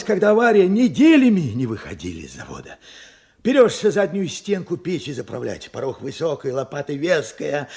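An old steelworker father in a Soviet radio play. His voice is very husky and gravelly, swinging widely in pitch. He tells of his losses wearily, in short, halting phrases. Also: a little mellow, heavily acted. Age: older. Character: weary old widowed steelworker father recalling war losses. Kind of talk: Soviet radio drama acting.

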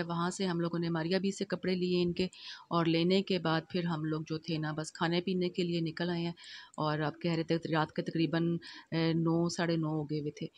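A middle-aged woman from Pakistan narrating her shopping vlog as a voice-over, in a chatty, homely way with many fillers. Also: lowish voice. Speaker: vlogger.

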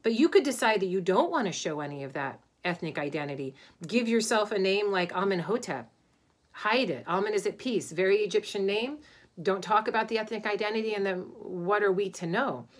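A middle-aged woman, an Egyptologist, lecturing informally to a webcam from her home study, thoughtful and pausing with many ums and restarts. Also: mid-range voice, animated. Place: home study with bookshelves, indoors.

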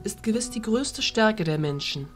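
A woman playing a dignified, diplomatic fairy ruler, speaking in a formal, measured way. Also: mid-range voice, animated, soft background music, lightly acted.

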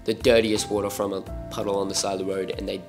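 A man's voice: low voice, little pitch movement, fast talker, faint music behind. A young Australian man hosting an aquarium channel, talking casually to camera in a room full of fish tanks, his voice a little off as he gets over being sick. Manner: laid-back, filler-heavy ("like", "literally", "super"). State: recovering from illness, voice a bit off.

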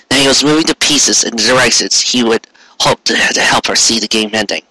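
A man's voice: mid-range voice, bright, forceful tone, slightly rough, no room sound, poor audio. A man narrating a story expressively, savoring the sentences and now and then stumbling on a word. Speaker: fan fiction reader.

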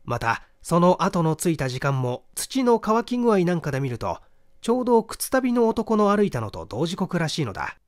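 A man giving a measured, expressive reading of a literary detective story as narrator. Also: sits high. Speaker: audiobook reader, stage actor.

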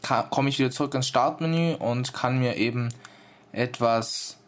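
A man's voice: medium pitch, moderate pace. A man giving a casual, informal demonstration of an app, explaining it step by step as its reviewer.

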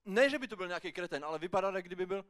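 A man's voice: high-pitched, animated, fairly bright tone, smooth. A man performing rhymed slam poetry with theatrical, rhythmic storytelling. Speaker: slam poet. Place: live slam poetry final on stage.

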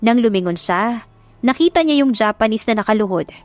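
A woman's voice: a little high, expressive, fairly quick, dry recording, soft background music. A woman reading a dramatic scripted love story as an audiobook narrator.

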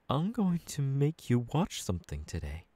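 A man's voice: a little high, warm tone, slightly rough, dry recording, exaggerated voice. A man playing a menacing, mocking young villain boss in an ASMR roleplay, taunting and sometimes sinking into a whisper. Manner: taunting, drawn-out, dropping into whispers. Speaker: voice actor.

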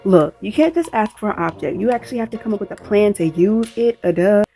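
A woman's voice: mid-range voice, animated, a little fast, dark tone, soft background music. A woman doing a comedic cartoon voice-over, acting out dialogue with sassy, colloquial, exaggerated delivery. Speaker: amateur voice-over performer dubbing a cartoon.

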